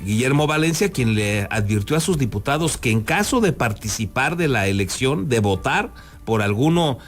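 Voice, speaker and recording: A man with a Mexican accent hosting a news program, giving unscripted commentary in a halting, emphatic way. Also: medium pitch. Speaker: news host.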